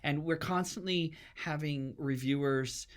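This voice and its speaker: A man's voice: fairly high pitch, measured pace. A middle-aged man, talking as a theatre artist guest in a casual podcast chat.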